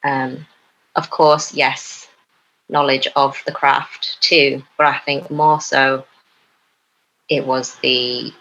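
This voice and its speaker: A young woman from Newcastle upon Tyne, a poet talking as a podcast guest in a hesitant way, with many pauses and fillers. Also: extremely low pitch, level tone, a bit bright, lo-fi sound. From Newcastle upon Tyne, England. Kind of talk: casual interview chat.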